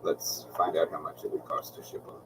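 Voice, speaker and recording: Calm deep-voiced man, laid-back stream host. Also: brisk, recorded from a distance, background noise. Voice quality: calm, relaxing voice. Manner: laid-back, rambling with many ums. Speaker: aquarium channel host. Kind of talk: casual livestream chat.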